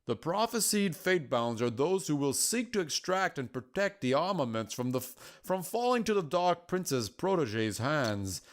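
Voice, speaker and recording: A man performs a solemn keeper of prophecy for a fantasy story. He is patient and cryptic, choosing formal, old-fashioned words and setting them out in a measured way. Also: fairly high pitch, sing-song. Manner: measured, formal, archaic phrasing.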